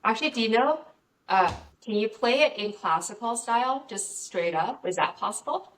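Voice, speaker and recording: A woman's voice: fairly high pitch, expressive, moderate pace, fairly bright tone. Woman interviewer, lots of ums, far from the mic, echoey room. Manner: hesitant, many fillers. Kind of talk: interview questions.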